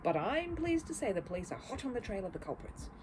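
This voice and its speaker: A woman's voice: fairly high pitch, very animated, fast talker, noisy background, pitched above the performer's own voice. A woman voicing a kindly older male shopkeeper who is courteous, concerned and mildly reproving. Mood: grave.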